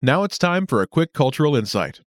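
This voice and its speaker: A man hosting a scripted language lesson with clear, upbeat announcer delivery. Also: a little high, big pitch swings, fairly quick, no room sound, studio quality. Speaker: lesson host.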